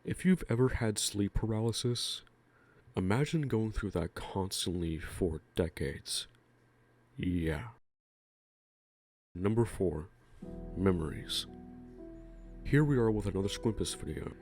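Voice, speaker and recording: Deep-voiced man narrating a countdown. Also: animated, takes it slowly, pauses often, a little mellow, soft background music. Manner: enthusiastic, casual swearing. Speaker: video host. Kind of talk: scripted narration of a ranking video.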